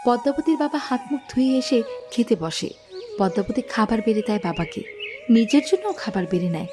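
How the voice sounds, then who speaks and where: A woman narrator with a fairly high voice tells a scripted story. Music plays clearly behind her narration. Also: expressive, fairly quick.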